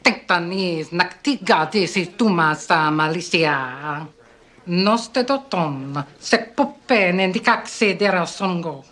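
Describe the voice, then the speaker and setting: A proud alien businesswoman who leads her community, in television drama style. Her voice sits a little low, and she speaks formally, with pointed assertiveness. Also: animated, measured pace, a bit bright.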